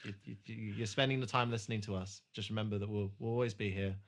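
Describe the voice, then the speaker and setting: A man's voice: on the low side, steady tone, quick pace. A man hosting a podcast in casual chat, rambling, jokey and given to swearing. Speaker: podcast host.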